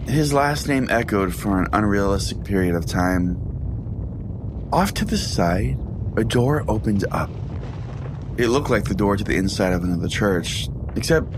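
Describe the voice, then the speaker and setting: A man hosting a podcast, reading a scripted first-person comic story in a deadpan manner. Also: fairly low pitch, moderate pace, noisy background. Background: music.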